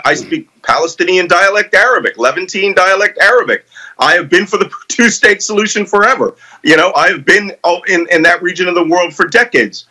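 Male panel commentator, middle-aged, high bright voice, emphatic and sure of himself, argues his case. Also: poor audio. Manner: emphatic, argumentative, assured. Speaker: guest commentator with military experience. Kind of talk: political panel discussion.

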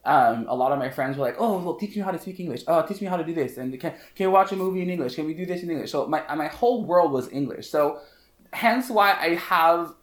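A man's voice: on the high side, expressive, fast, reverberant. Playful bilingual man, slight French Canadian accent. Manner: playful, laughs often, self-mocking. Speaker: podcast guest, hairstylist. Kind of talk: casual chat.

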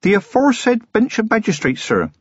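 An eager young country constable, put on by a male narrator, nervous and deferential. The voice sits high and jumps about, hesitant, with a questioning lilt. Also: huge pitch swings, brisk, breathy edge, no echo, dull audio, heavily acted.

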